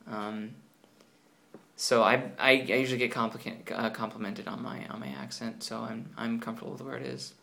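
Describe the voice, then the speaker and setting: Gravelly guy chatting casually, lots of ums and restarts. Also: medium pitch, steady tone, fairly quick, sharp tone. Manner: hesitant, many ums and restarts. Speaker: language learner guest. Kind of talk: casual conversation.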